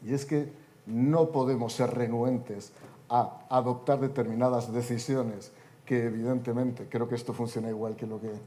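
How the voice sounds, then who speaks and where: A man's voice: medium pitch, fairly even intonation, normal speed, warm tone. A man in his fifties speaking on a conference panel, delivering an oratorical talk with wry, joking asides. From Spain. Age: 50s.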